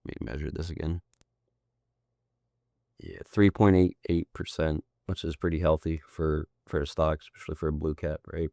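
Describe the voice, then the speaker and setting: A man working as a trading mentor, hoarse from being sick, walking through a chart in a loose, rambling way with frequent tag questions. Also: very deep voice, a little mellow, no echo, clean studio sound. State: hoarse, sick and losing his voice. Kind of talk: casual chart walkthrough.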